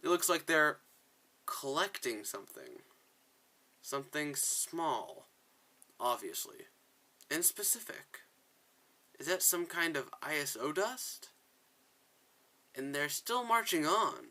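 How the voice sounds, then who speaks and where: A man acts out a wisecracking game superhero who is excitable and a bit of a bumbler. The voice is bright and forceful, loud and theatrical, with a flippant edge. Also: on the high side, takes it slowly, pauses often, pitched above the performer's own voice, full character voice. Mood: curious. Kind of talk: reading game story dialogue aloud.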